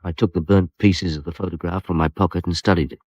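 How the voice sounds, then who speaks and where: A man's voice: low-pitched, fairly even intonation, fast, darkish tone, breathy edge, no room sound, highs cut off. An actor playing a weary, dry detective inspector who narrates his case in a radio crime drama. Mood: grave narration.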